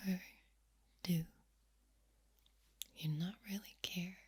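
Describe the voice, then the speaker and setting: A woman speaking softly in a breathy ASMR whisper, close to the mic from ear to ear, lingering on and repeating words. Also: fairly low pitch, steady tone, takes it slowly. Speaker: ASMR video creator. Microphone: close microphone, speaking ear to ear.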